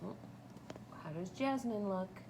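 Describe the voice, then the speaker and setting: A woman reading a picture book aloud for children, pausing to ask them questions about the story. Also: mid-range voice, background noise. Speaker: storytime reader.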